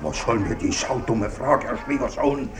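A man's voice: medium pitch, lively intonation, moderate pace, natural voice. An actor playing a haughty, affronted wealthy father-in-law in a theatrical German radio play. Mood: affronted, indignant. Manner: indignant, haughty.

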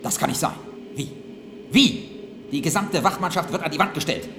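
A man's voice: a little high, moderate pace, natural voice. A man acting in a German radio play as a ruthless, suspicious regime boss with a commanding, threatening manner. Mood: furious. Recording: echoey, noisy archival radio drama.

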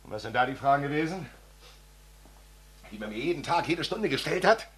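An actor playing a tormented young writer taping an audio diary, agitated and halting, in a theatrical monologue with a husky voice. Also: male voice, fairly high pitch, lively intonation, a bit bright. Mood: anguished, accusing. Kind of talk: dramatic monologue in an audio drama.

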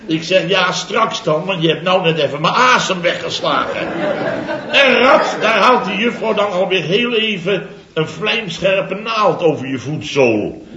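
A pompous, self-important boss of a building firm, who boasts and chuckles his way through every tale. His voice sits a little high. It sounds like a dull, roomy old radio comedy recording. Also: expressive, a little slow, crowd noise. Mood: boastful. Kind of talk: 1960s radio comedy acting.

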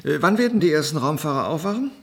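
An actor playing a curious, wry scientist in a German radio drama, with a gravelly, husky voice. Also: male voice, a little high, expressive, quick pace. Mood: curious, thoughtful. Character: curious, wry male scientist reviewing brain scans.

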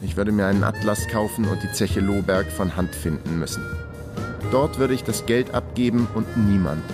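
An actor playing a wry, hapless investigator who narrates his own case in a deadpan way in a radio crime drama. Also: lowish voice, moderate pace, close to an everyday voice. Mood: laconic, matter-of-fact. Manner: laconic, deadpan. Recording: music behind the voice.

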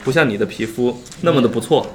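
A man's voice: medium pitch, quick pace. A man in casual banter as a livestream guest, with a playful, joking and mock-pleading way of talking.

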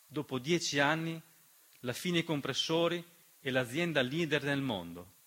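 A man's voice: a little high, animated, normal speed, pushed and bright. A man delivering an emphatic, dramatic theatrical monologue as narrator, with rhetorical questions and refrains. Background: piano accompaniment.

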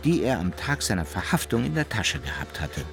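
An actor as the storyteller of a German radio play, giving mock-solemn scripted narration of a hero's misadventures. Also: male voice, on the low side, big pitch swings, a little fast, creaky, soft background music.

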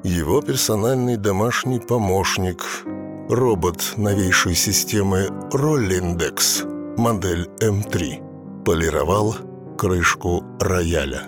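A man's voice: deep voice, slow pace. A man narrating an audio drama in a literary, scripted storytelling style. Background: piano music.

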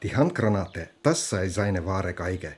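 A man's voice: low voice, expressive, measured pace, a little mellow, slightly rough, exaggerated voice. A man acting a dry, courteous Estonian police inspector in a German radio drama. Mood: matter-of-fact.

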